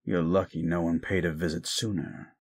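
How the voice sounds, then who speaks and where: A man playing a jaded, reclusive werewolf in an audio roleplay drama, gruff, curt and sardonic. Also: deep voice, steady tone, normal speed. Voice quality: gruff, gravelly.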